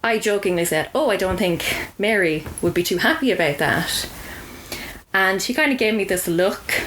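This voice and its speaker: A woman's voice: medium pitch, lively intonation, normal speed, fairly bright tone, slight background noise, poor audio. An Irish woman telling a personal story as a podcast guest, in a casual, wry and understated conversational way.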